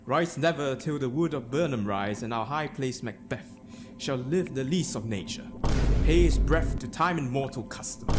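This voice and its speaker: A man's voice: mid-range voice, lightly acted. A man acting a Shakespearean king, ambitious, fearful and vengeful, in a theatrical, declamatory dramatic reading. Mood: demanding. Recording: loud ominous music behind the voice. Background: ominous music.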